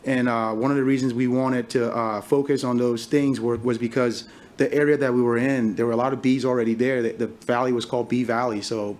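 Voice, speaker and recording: Halting man speaking at a conference. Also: mid-range voice, steady tone, a little mellow. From Liberia. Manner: halting, many fillers and restarts. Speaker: farmer and summit speaker. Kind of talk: conference talk. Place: conference hall stage.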